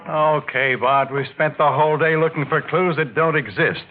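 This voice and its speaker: An actor playing an exasperated, slangy American police inspector in 1940s radio style, grumbling with folksy sarcasm. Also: male voice, on the high side, normal speed, over music, lightly acted. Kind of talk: 1940s radio mystery acting. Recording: tinny, noisy 1940s radio recording.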